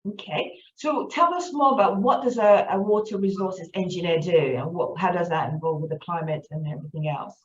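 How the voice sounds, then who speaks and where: A woman's voice: fairly low pitch, brisk, few pauses. Woman podcast host in a roomy, dull recording. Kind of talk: formal welcoming podcast interview.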